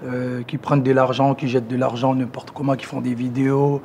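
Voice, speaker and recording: A man in his thirties from Congo with a Congolese French accent, talking casually to camera, relaxed and hesitant with many fillers. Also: medium pitch, a little fast, a little hiss or hum. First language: Lingala. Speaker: musician presenting his own interests. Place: outdoor garden terrace among plants. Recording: music playing under the voice.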